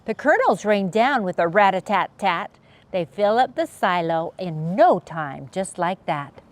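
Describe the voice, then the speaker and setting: A woman reading a rhyming picture book aloud to young children in an expressive, sing-song and playful way. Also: medium pitch, a little slow. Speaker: children's story reader, channel host.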